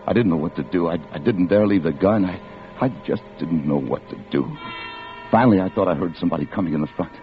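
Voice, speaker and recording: A bitter, restless crook acted by a man in an old radio crime drama. He speaks low, in a brusque, world-weary, hard-boiled manner, both confessing and arguing. The recording is muffled, with faint music about it. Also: fast, natural voice. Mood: tense, confessional. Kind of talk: 1940s-50s radio drama acting and first-person narration.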